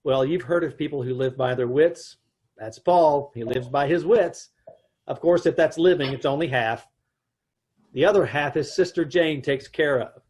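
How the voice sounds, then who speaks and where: A man's voice: fairly high pitch, normal speed, a little mellow, a little hiss or hum. A man narrating and playing a dry, joking husband in an old-time radio comedy recreation. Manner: dry wry delivery with chuckles. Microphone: home mic on video call.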